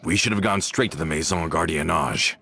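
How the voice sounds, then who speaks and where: A low, gravelly man's voice for a level-headed game henchman. It is forceful and plain-spoken, with faint music behind. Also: brisk, slight background noise, heavily acted. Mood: wry, grim. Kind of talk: video game voice acting.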